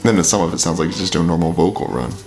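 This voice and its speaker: A man with a very deep voice giving casual, filler-heavy commentary while reacting to a song. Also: animated, very fast, gravelly, a little mellow. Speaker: reaction video host. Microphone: headphones with mic. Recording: music playing under the voice. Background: music playing.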